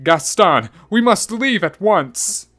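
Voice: medium pitch, lively intonation, slow pace, fairly bright tone, voice pushed up. A performer voicing an earnest, proud male mouse in a storybook, speaking in a dramatic, exclaiming way. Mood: urgent alarm.

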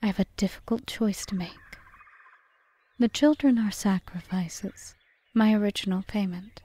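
A woman playing a calm, calculating forest witch in an audio roleplay, speaking in a measured, formal, often whispery way. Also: mid-range voice, breathy edge. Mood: solemn, wistful. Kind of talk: ASMR roleplay monologue.

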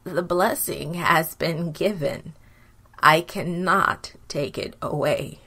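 A woman voicing a frail, solemn old father in a children's Bible story, with drawn-out, weighty, deliberate speech. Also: on the low side, pushed and bright, husky, full character voice. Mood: sorrowful.

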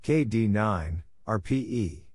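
Low-voiced man, clipped scripted read-out. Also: animated, slow pace, darkish tone, no room sound, clean studio sound. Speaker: narrator of Morse code practice exchanges. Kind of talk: scripted read-out of call signs and radio exchanges.

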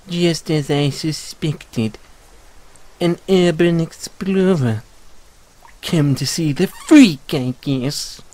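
A man voicing a bitter, tormented frog mascot in an audio roleplay, with a halting, tearful, self-pitying delivery. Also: fairly high pitch, darkish tone. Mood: bitter, accusing. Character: weepy, bitter, tormented frog mascot haunting an abandoned amusement park. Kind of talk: audio roleplay monologue with mournful sung counting rhyme.

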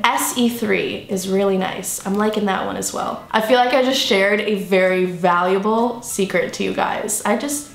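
A woman's voice: mid-range voice, creaky. A young woman, slightly nasal from a cold, chatting casually and playfully to the camera as a vlogger. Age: young adult. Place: living room or bedroom indoors. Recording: roomy indoor echo.

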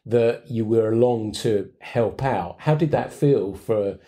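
A man's voice: on the low side, slow pace, warm tone. A man hosting a podcast, asking casual, slightly rambling interview questions. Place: indoors in a tackle shop.

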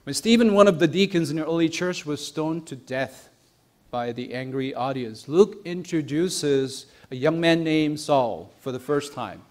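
A young man delivers a sermon in a fairly high male voice. He speaks in deliberate, short phrases and leans on key words for emphasis. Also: darkish tone. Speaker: preacher. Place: church sanctuary.

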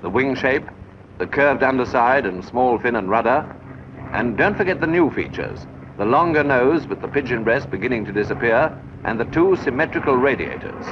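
A man reading scripted narration for a 1940s military training film in a measured, instructive way. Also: medium pitch, expressive. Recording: dull noisy 1940s film soundtrack.

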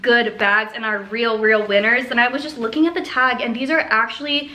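A woman, chatting to camera in a gushing, excited, emphatic way, like a casual haul vlog filmed at home. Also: a little high, a little fast. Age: 20s. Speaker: vlogger. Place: living room at home. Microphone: camera a short way in front of her.

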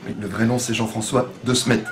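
A man's voice: medium pitch, little pitch movement, fairly quick. A man, chatting casually as a singer being interviewed, with frequent hesitations. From Belgium. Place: small kitchen backstage at a bar. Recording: music playing in background.

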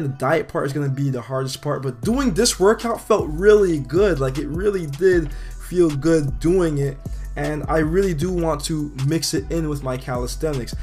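A young man with a hoarse, fading voice chatting casually about a workout in a gym, rambling a bit and laughing now and then. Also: a little high, darkish tone. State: hoarse, losing his voice. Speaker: fitness vlogger and trainer. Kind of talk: casual workout vlog talk. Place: gym, indoors.

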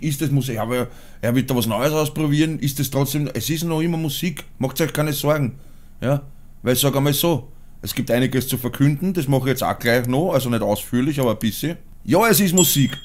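A man's voice: mid-range voice, darkish tone. A man in his forties with a Viennese accent, vlogging from a small home studio and then performing a spoken-word rap, blunt and crude. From Austria. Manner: blunt, crude, laughs at his own jokes. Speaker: musician and vlogger.

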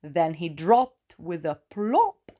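A woman narrating a rhyming children's storybook aloud with dramatic, deliberate phrasing. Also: lowish voice, very animated, mellow.

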